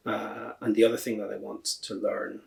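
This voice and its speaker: Low, very creaky Northern Irish man. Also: little pitch movement, moderate pace, a little mellow. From Northern Ireland. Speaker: podcast guest, translator and association chair. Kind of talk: conversational interview answers.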